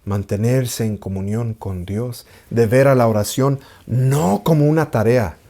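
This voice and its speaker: A man's voice: medium pitch, warm tone. A man teaching as an Orthodox priest, raised in an English-speaking country, speaking earnestly, repeating phrases for emphasis and slipping in English words. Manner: halting, apologetic about his Spanish. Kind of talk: spiritual teaching talk.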